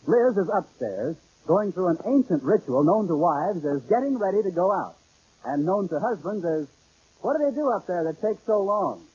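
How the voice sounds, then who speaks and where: A man with a raspy voice narrating a 1940s radio comedy as its announcer, in a scripted style. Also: high voice, very animated, normal speed, warm tone. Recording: dull old radio recording.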